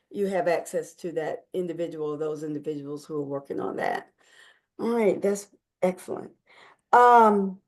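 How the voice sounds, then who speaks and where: A woman chairing an online committee meeting, speaking in a measured, encouraging way. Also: fairly low pitch, darkish tone.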